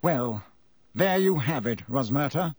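A man's voice: a little high, very animated, a little slow, no room sound, voice pushed up. A man playing a pompous, self-important older government minister in an audiobook, grave and formal in delivery. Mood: grave, shaken.

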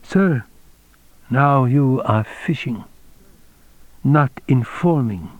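A man voicing an elderly Japanese general in an audiobook, fatherly and shrewd, with formal, measured phrasing. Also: mid-range voice, animated, darkish tone, no echo, voice pushed up. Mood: gently chiding.

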